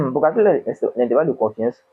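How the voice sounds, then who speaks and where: Man, stuffy cold, husky voice, tinny phone-like sound, slow dictating tutor. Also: a little high, lively intonation. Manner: slow, dictating numbers, asks and answers himself. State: has a cold. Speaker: econometrics tutor. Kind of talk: screen-recorded worked exercise.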